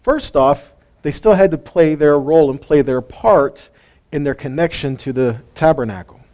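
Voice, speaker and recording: A man's voice: a little high, normal speed, a little mellow. A man teaching a weekly Bible lesson in a conversational way, asking his listeners questions and chuckling between points. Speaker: teacher of a weekly Torah study. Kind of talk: informal Bible teaching to a live group.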